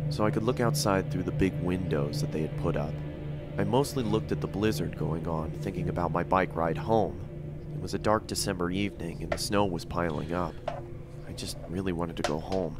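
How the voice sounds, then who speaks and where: A man narrating a creepy first-person story in a steady, measured scripted read. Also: sits low, loud music behind the voice.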